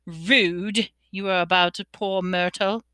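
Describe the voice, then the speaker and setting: A woman's voice: fairly low pitch, steady tone, slow, sharp tone, clean tone, no room sound, studio quality. A woman voicing a wicked, gleeful male poltergeist in a children's storybook, taunting with mock sweetness. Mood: mock-sweet taunting.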